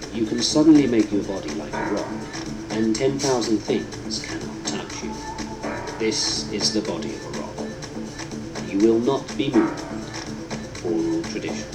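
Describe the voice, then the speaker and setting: A man's voice: fairly low pitch, sing-song, normal speed, rough recording. Solemn male audiobook narrator over prominent music. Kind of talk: measured scripted book narration. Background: prominent instrumental music.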